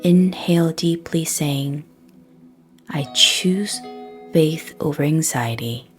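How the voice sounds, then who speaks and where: A woman with a low voice leads a scripted guided prayer meditation. Her delivery is soft, breathy and very slow, with pauses between short phrases. Gentle plucked guitar music plays softly behind her.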